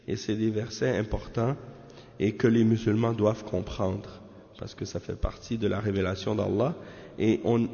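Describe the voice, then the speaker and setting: A man from Canada giving a measured religious lesson on a text, often tagging his sentences with questions. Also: lowish voice, gravelly, warm tone. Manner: measured, peppers talk with tag questions. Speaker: religious teacher. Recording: echoey hall, dull lo-fi sound.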